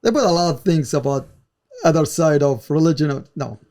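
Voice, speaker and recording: Guest: Iraqi man, accented English, gravelly and warm. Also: a little high, fairly quick. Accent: Iraqi Arabic. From Iraq. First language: Arabic. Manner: non-native English, simple phrasing, frequent "you know". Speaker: guest, former soldier and interpreter. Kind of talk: casual interview talk.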